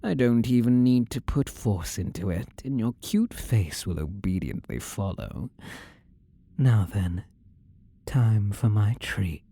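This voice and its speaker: Casting: male actor as controlling demon-possessed boyfriend for audio roleplay; low, dark mellow voice, menacingly tender and condescending. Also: very animated, no echo, full character voice. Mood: smug, domineering. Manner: slow, teasing, coaxing endearments, condescending. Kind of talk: scripted roleplay audio drama.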